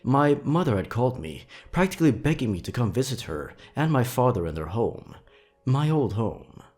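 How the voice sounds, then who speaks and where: A man narrating scripted creepy stories in a dramatic, suspenseful, theatrical way with drawn-out pauses. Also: lowish voice, a little mellow. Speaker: horror story narrator and channel host.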